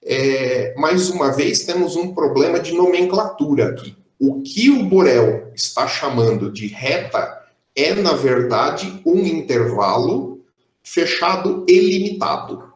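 A young man gives a math lecture over a video call. He explains steadily and fills his pauses with "é". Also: fairly high pitch, echoey room. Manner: explanatory, steady, with frequent "é" fillers. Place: indoor room, on a video call.

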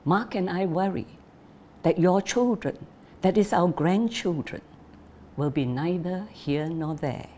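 A woman reading the letter of a worried old father to his son, slow and earnest. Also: on the low side, warm tone.

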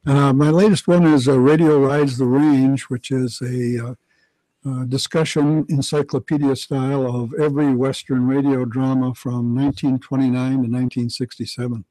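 An older man gives informative answers in a radio studio. His voice is mellow and dark, and the sound is dry and close. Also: medium pitch, steady tone, moderate pace, no room sound, rough recording. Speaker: radio historian, guest.

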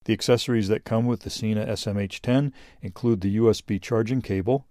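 A man's voice: on the low side, steady tone, fairly quick, warm tone, dry recording, studio quality. A man reading scripted narration for a product review video in a plain-spoken, explanatory way.

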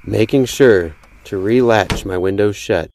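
A man's voice: lowish voice, unhurried, darkish tone, slight background noise. A man narrating a first-person horror story in a dramatic, suspenseful storytelling manner. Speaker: podcast host and narrator. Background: music behind the voice.

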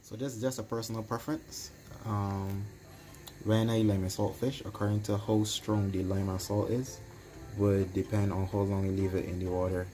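A man's voice: fairly low pitch, flat delivery, normal speed, mellow, soft background music. A man with a Barbadian accent casually explaining cooking steps as a home cook. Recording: noticeable background noise.

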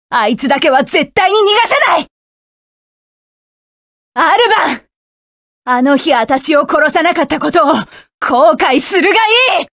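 A resolute young woman mecha pilot in a game, snapping out commanding battle orders in a high, bright voice. Her lines are recorded dry and close, with no room sound at all. She stays fierce and set on revenge against her foes. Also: no echo. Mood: vengeful. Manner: commanding, clipped battle calls. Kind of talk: video game combat voice lines.

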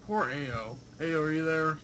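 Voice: medium pitch, moderate pace, fairly bright tone, dull audio. Someone chatting casually in a teasing way, heard distantly through a noisy recording with clicks.

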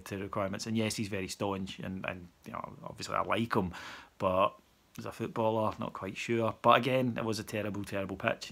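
Guy in his 30s talking footy into his phone, low gravelly voice, dry and sweary. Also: a bit bright. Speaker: podcast host. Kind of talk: casual post-match reaction. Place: small room indoors. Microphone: phone camera at arm's length.

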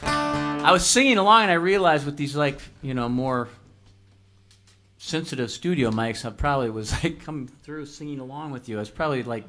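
A man joking around between songs, lively pitch. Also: normal speed. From Minnesota. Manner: joking, self-mocking, lively. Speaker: band member. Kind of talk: casual stage banter. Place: small club studio stage, indoors. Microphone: stand mic. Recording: live stage recording with music behind.

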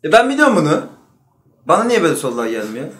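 Lively man in an echoey room. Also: on the high side, very fast, crowd noise. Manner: joking, grumbling, slangy. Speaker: quiz show contestant. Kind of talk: casual bantering chat. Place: small curtained studio room.

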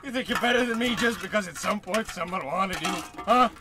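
A man playing a wistful, excitable old tramp in a radio comedy, folksy, theatrical and rambling. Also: high-pitched, brisk, natural voice. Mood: touchy, rambling. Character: down-on-his-luck old tramp, failed vaudevillian, wistful and excitable. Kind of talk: old-time radio comedy play acting.

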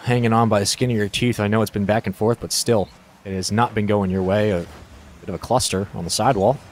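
A man giving casual color commentary on a high school esports match. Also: steady tone, fast talker, slight background noise. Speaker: esports co-caster.

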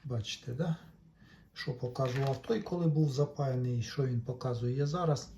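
Mellow-voiced man narrating a repair. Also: medium pitch, slight background noise. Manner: unhurried, talks along while working, addresses viewers. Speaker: electronics repair video maker. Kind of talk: casual hands-on repair commentary.

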